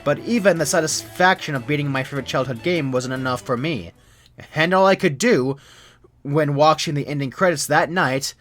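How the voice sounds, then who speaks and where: A young adult man reading a scripted creepypasta in a measured, serious storytelling manner. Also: fairly high pitch, a bit bright. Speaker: narrator.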